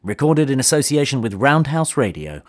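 A man's voice: medium pitch, very animated, normal speed. A man reading a scripted introduction as a podcast announcer.

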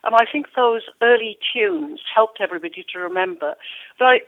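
A woman's voice: on the high side, animated, fairly quick. A woman, a national poet being interviewed, talking with passion and a ready laugh, often repeating words. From Wales. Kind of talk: radio interview. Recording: tinny phone-like line.

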